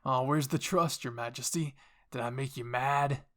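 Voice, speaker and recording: A man's voice: mid-range voice, moderate pace, a bit bright, close to an everyday voice. A man voicing a brash, cocky military enforcer in an anime roleplay drama, with a mocking, taunting manner.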